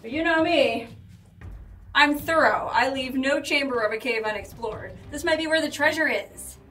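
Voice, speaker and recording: A young woman vlogging as she explores a narrow cave, chatty and full of excited exclamations, recorded on a handheld camera. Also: high voice, moderate pace, faint music behind. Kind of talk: casual vlog narration.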